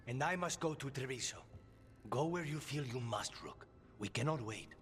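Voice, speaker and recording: An actor playing a grave, courteous assassin in a fantasy video game, speaking solemnly and formally. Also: male voice, medium pitch, animated, moderate pace, a bit bright, close to an everyday voice. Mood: urgent. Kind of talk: video game dialogue acting.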